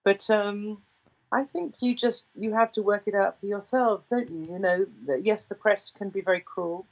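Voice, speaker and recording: A woman in her sixties, a singer with a deep baritone voice, telling stories in an interview with many restarts. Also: moderate pace, highs cut off. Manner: rambling, with frequent restarts. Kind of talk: relaxed conversational storytelling.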